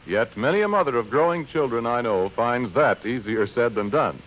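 A man's voice: medium pitch, lively intonation, moderate pace. Old time radio announcer guy, very up and down delivery, muffled 1930s broadcast. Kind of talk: 1930s scripted radio announcing and sponsor pitch.

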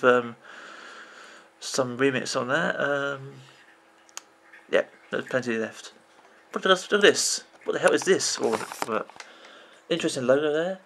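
Man, 30s, croaky, gravelly fry, rambling vlog talk, faint background hiss. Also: medium pitch, unhurried, long pauses, a bit bright. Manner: rambling, restarts often, many "you know"s. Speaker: video game vlogger. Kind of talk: rambling off-the-cuff commentary. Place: indoors at a computer.

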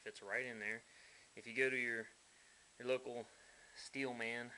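A man's voice: mid-range voice, level tone, measured pace, pushed and bright, slight background noise, rough recording. Blunt folksy man in his workshop. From United States. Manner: blunt, folksy, mildly profane. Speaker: hobbyist builder. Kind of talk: casual workshop walkthrough. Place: dim garage workshop.

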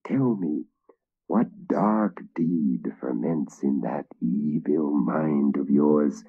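A man voicing a stern knight who gives orders in a raspy undertone, in a dull lo-fi recording. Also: fairly high pitch, big pitch swings, normal speed, voice pushed up.

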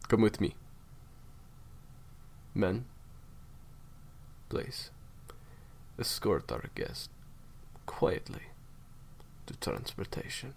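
Man playing a deep, gravelly, courteous villain. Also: big pitch swings, darkish tone, slight background noise, full character voice. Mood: satisfied. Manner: formal, unhurried, theatrically polite threats. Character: menacing, courteous male villain, cold captor. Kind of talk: roleplay audio drama monologue.

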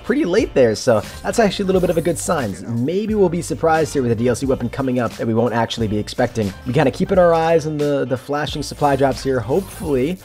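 A young man with a slightly raspy voice, giving hyped, chatty commentary while opening loot in a game, recorded in his bedroom. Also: mid-range voice, fast, hardly any pauses, soft background music. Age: young adult. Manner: hyped, chatty, bursts of excitement. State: slightly raspy voice after yelling. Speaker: gaming YouTuber. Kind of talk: excited gameplay commentary.

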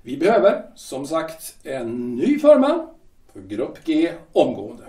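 Guy doing a slick shipyard boss, kinda high voice, slow pausing menace, roomy and off mic. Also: huge pitch swings, dark tone, recorded from a distance. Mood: cool, probing. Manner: measured, hesitant with drawn-out pauses. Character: smooth, veiled-threatening male shipyard director. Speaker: shipyard director. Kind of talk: amateur audio drama acting. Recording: roomy echo, voice set back from mic.

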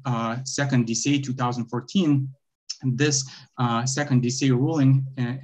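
A young man with a Lithuanian accent arguing his own appeal over a video call, halting, with many fillers and restarts. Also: mid-range voice, a little mellow. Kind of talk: remote oral argument before a court. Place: bright indoor room, video call. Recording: dull lo-fi video call audio.

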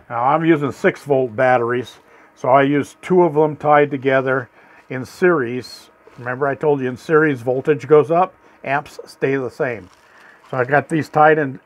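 A man on his homestead channel, showing step by step how he wires a solar setup, often stopping to ask "okay?" and repeating words. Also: mid-range voice. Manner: folksy, repeats words, asks "okay?" after steps. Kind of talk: hands-on how-to demonstration. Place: small solar battery shed, indoors.

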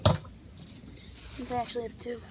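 A teenage boy vlogging outdoors in the woods on a handheld phone, chatty and excitable, cursing under his breath as he works. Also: very high-pitched, fairly quick. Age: teens. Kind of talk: casual outdoor vlog. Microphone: handheld phone camera. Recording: loud outdoor noise on phone.

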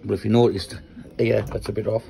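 A man from Newcastle with a Geordie accent and a croaky voice, casually talking through his workshop project while filming on a handheld phone in a small shed. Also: on the low side, flat delivery, brisk, warm tone. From Newcastle, England. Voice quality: croaky, gravelly voice. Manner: rambling, explains while handling parts. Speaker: maker vlogger.

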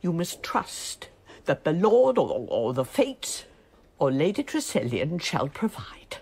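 A woman's voice: low voice, very animated, takes it slowly, a little mellow, breathy edge, heavily acted. An actress playing an imperious older English noblewoman in a radio drama, formal, wry and insistent, with an English accent. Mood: earnest, insistent.

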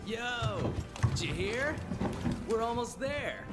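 A man playing an eager, chummy young adventurer in a game cutscene, brash, friendly and excitable. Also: very high voice, slow pace, full character voice. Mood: chummy, upbeat. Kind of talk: animated game cutscene dialogue. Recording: loud music underneath.

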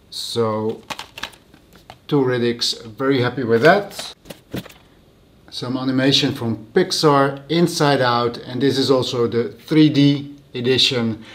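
Slow, easygoing man showing his finds. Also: mid-range voice. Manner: unhurried, plain and matter-of-fact. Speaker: host. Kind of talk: casual show-and-tell vlog.

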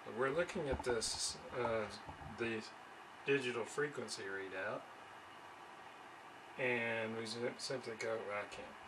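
A man with a medium-pitched voice talks through a hands-on demonstration in a casual way. He pauses and thinks aloud while working. The recording has clear noise behind him, picked up by a camera microphone in a small home workshop. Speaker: radio restoration hobbyist presenter.

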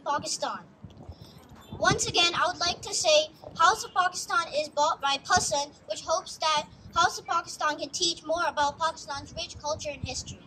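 High-voiced young speaker, earnest recital. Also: female voice, sharp tone, a little hiss or hum, poor audio. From United States. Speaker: youth speaker. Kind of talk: prepared speech read aloud. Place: outdoor stage in a park.

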